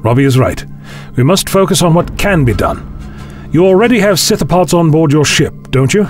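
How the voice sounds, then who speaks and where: A man acting a learned, calmly authoritative time-travelling scientist in a science fiction audio drama, speaking in measured, formal phrases. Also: a little high, faint music behind, close to an everyday voice. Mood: decisive. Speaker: lead actor in a fan audio drama.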